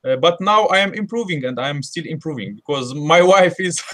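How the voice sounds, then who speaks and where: Man, Russian accent, non-native English, hesitant, lots of fillers, slightly high voice. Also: lively intonation, a little fast, bright, forceful tone. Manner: hesitant, many fillers and restarts. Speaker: host. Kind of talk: casual chat.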